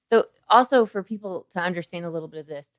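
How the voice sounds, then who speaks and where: A woman's voice: on the low side, quick pace, dry recording, clean studio sound. A woman hosting a podcast as a doctor, talking in a chatty, informal interview style. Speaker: podcast host, OB-GYN doctor.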